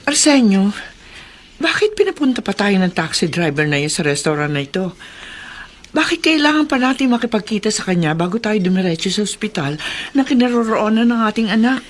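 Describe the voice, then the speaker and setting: A woman's voice: medium pitch, sing-song, fairly quick. A woman playing an anxious, loving wealthy mother in a radio drama, emotional and urgent. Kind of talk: Filipino radio drama acting.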